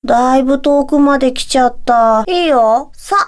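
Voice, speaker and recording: A woman voicing a laid-back, absent-minded young boy mage in a Japanese anime video game, deadpan and dreamy, in short drifting phrases. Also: sits high, normal speed, natural voice. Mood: calm, vague.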